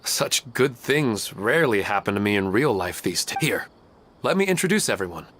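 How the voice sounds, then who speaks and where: A man's voice: fairly low pitch, big pitch swings, normal speed, a bit bright, slight background noise. A man playing an earnest, affectionate husband and father in a video game, with an eager, effusive manner. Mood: delighted.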